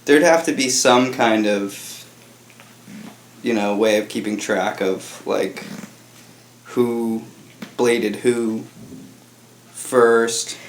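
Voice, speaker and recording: A man's voice: mid-range voice, very slow, pauses often, a little hiss or hum. Casting: male podcast host, around 30, middle register, conversational, roomy echo on the recording. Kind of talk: casual group podcast chat. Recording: roomy echo, faint music.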